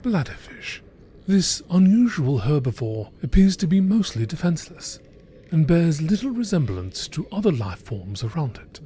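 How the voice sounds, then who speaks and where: Higher-pitched man narrating like a nature documentary. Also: sing-song, moderate pace, mellow, a little hiss or hum.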